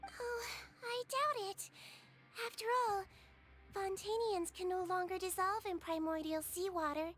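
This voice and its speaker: A woman playing a small, childlike head nurse in a video game, calm, shrewd and precise in the way she explains things. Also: very high voice, unhurried. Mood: composed, explaining.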